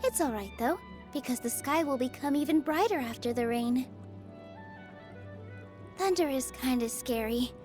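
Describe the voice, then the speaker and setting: A woman voicing a gentle, sunny young dancer in a video game, earnest and encouraging, with a sweet, coaxing manner. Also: high-pitched, expressive, normal speed, pauses often, faint music behind. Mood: reassuring. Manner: sweet, soft-hearted, bubbly, coaxing. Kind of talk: scripted video game character lines.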